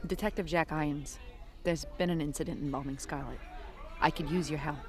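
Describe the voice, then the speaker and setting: An actress playing a blunt, wry police detective in an audio drama, with a curt, commanding manner. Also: female voice, low voice, steady tone, brisk, crowd noise, lightly acted. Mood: businesslike. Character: tough, blunt woman police detective with gallows humor. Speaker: voice actor. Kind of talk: noir audio drama acting. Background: prominent background music.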